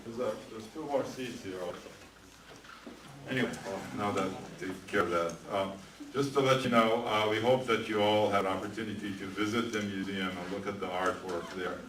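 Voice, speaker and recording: Deep-voiced man announcing in an echoey room. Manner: halting, many "uh" fillers. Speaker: event emcee. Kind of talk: event announcements and speaker introduction. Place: museum event room with audience. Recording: echoey room from far off, noisy.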